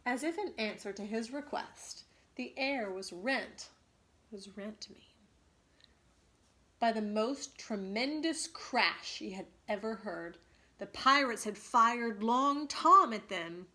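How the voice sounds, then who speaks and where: A woman teacher reading a story aloud expressively to students, pausing to ask them questions. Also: on the high side, animated, sharp tone.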